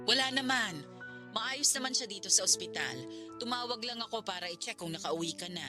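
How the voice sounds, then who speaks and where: A woman's voice: mid-range voice, expressive, a little fast, pushed and bright, soft background music. A woman playing a protective, disapproving middle-aged grandmother in a Filipino radio drama. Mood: caring. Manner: assertive, motherly.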